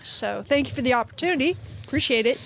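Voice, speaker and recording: A woman with a high-pitched voice talks casually as an interview guest. She is bubbly and informal, using plenty of easygoing slang. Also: animated, fast, creaky. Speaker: actress being interviewed. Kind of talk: casual chat.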